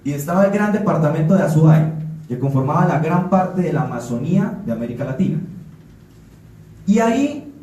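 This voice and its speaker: A man's voice: fairly high pitch, expressive, moderate pace, dark tone, slight background noise. A man from Colombia giving an earnest talk at a forum, often restarting and repeating words. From Colombia (Putumayo). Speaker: panel speaker. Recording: echoey room, speaker far from mic.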